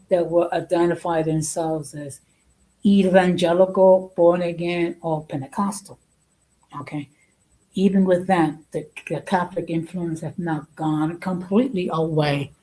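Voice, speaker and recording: A woman host giving an informal opinion talk in a halting, deliberate and emphatic way, often repeating her phrases. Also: lowish voice, dark tone, rough recording.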